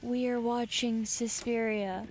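A woman acting a laid-back, teasing young cybergoth with a deadpan manner in an ASMR roleplay. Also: on the high side, takes it slowly, music in the background.

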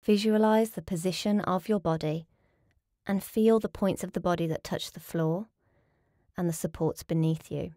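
Low-voiced woman guiding deep relaxation. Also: dry recording, clean studio sound. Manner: calm, soothing, measured instruction with pauses. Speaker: yoga nidra teacher. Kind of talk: guided relaxation meditation.

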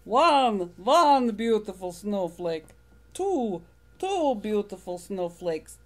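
A woman voicing a delighted male vampire counting snowflakes slowly in a storybook reading. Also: medium pitch, very animated.

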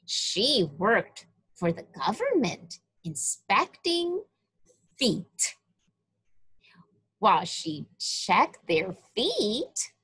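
A woman reads a children's Bible story aloud at an extremely slow, deliberate pace. Her fairly high voice swings up and down with emphasis, and it has a husky edge. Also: fairly bright tone, poor audio.

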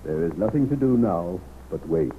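Solemn aging gentleman, vintage radio. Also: sits low, lively intonation, moderate pace. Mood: grave, solemn. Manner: solemn, formal, declamatory. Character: aging wealthy man, grave, dignified, resolute. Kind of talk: 1930s radio acting. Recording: muffled, hissy 1930s radio recording.

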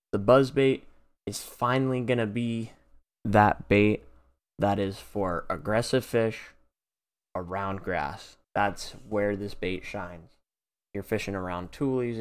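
A young man in his twenties hosting a fishing channel, talking casually and eagerly from his own experience, recorded in a small bedroom at his desk. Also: lowish voice. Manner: enthusiastic, conversational, draws on personal experience. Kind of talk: casual tier-list commentary over screen recording. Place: small bedroom with purple lighting, indoors.

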